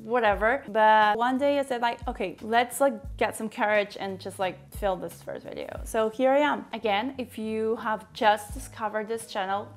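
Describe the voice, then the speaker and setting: A woman's voice: fairly high pitch, moderate pace, few pauses, soft background music. A woman in her thirties from Argentina, whose first language is Spanish, chatting to camera in a living room with many "like" fillers and an Argentine Spanish accent. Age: 30s. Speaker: vlogger. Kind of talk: casual talk to camera. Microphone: camera close-up, head and shoulders.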